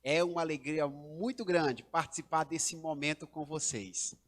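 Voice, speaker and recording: A man's voice: fairly high pitch, unhurried, fairly bright tone. A man with a Brazilian Portuguese accent, a pastor hosting a church livestream, speaking formally with rising emphasis. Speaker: pastor and host.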